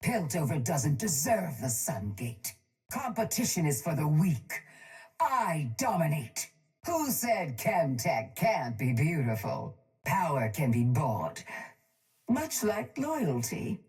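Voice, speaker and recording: A ruthless, wealthy villainess speaks slowly through a breather mask. Her voice is low and swoops with cold, haughty command, like a video game crime boss addressing underlings. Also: very animated, a little mellow, full character voice. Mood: smug, imperious. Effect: breather mask filter. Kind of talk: video game character voice lines. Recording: music playing behind the voice.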